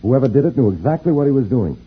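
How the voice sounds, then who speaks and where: A man's voice: medium pitch, sing-song, racing, husky. An actor playing a worried, diligent flight engineer, earnest and respectful to his superior, in an old-time radio serial. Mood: grave. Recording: tinny old radio recording, faint hiss.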